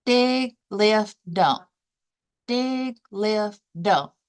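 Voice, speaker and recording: Woman as a brisk, bossy storybook duck. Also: medium pitch, faint music behind, pitched above the performer's own voice. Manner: instructing, emphatic. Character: brisk, confident male duck, bossy helper.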